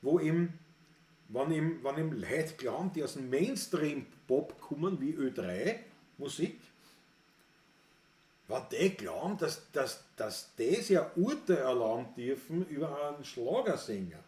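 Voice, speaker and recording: A man's voice: fairly high pitch, sing-song, a little slow, dark tone, roomy sound. A man with a Viennese accent hosting a music livestream, chatting in a rambling, opinionated way and reading viewer comments aloud. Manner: rambling and opinionated, circling back on his words.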